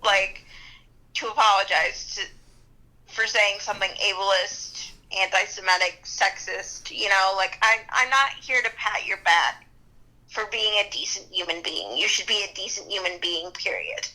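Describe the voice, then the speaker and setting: A young woman, a musician and activist, talking bluntly and casually in an interview, with quick laughs. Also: medium pitch, sharp tone, poor audio. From New Jersey and New York area.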